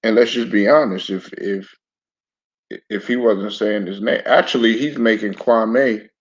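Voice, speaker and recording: A man with a low, raspy voice, hoarse from a throat bug, talks slowly and loosely to his viewers about sports. He keeps stopping, restarting and clearing his throat. The sound is dull and lo-fi, like a cheap home setup. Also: fairly even intonation. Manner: laid-back, stop-start, repeats words. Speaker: sports commentary channel host. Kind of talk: casual live-stream talk to viewers.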